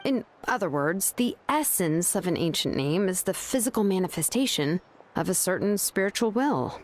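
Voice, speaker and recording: An actress voicing a relaxed, frank young craftswoman and name engraver in a game, talking in an easygoing way. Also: mid-range voice, moderate pace. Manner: relaxed, casual storytelling. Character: young woman craftswoman, laid-back and frank. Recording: game audio with clear background music.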